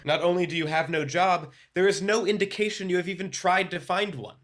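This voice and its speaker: A bureaucratic bully of a policeman in a radio play. His voice is fairly high for a man. He speaks officiously, with an insinuating edge. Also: fairly quick, fairly bright tone. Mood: officious, stern.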